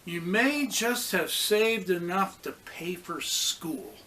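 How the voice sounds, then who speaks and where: A man voicing a gentle, practical village mother in a storybook, speaking in a measured, reassuring way. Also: high voice, animated, slight background noise, heavily acted.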